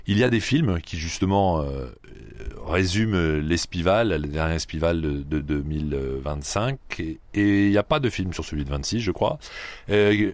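A man from the Paris region, a club head being interviewed on radio, who pauses often with fillers and restarts. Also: low voice, no room sound. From Paris region, France. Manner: hesitant, many fillers and restarts. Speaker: guest, sand yachting club head.

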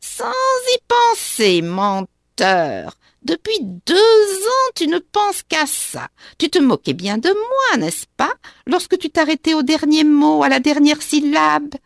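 Nasty little old witch, squeaky sour voice, swoops way up and down, stretches words out mocking. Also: big pitch swings, creaky, no room sound, studio quality, exaggerated voice. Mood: sneering. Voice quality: small, shrill, sharp and sour voice. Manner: sneering, mocking, drawn-out words. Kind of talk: storybook reading.

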